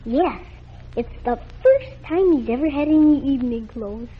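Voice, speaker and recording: A woman's voice: sits high, lively intonation, normal speed, breathy edge. An actress playing a chatty nine-year-old girl sick in bed, in 1940s radio acting. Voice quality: girlish and eager. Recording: muffled old radio broadcast.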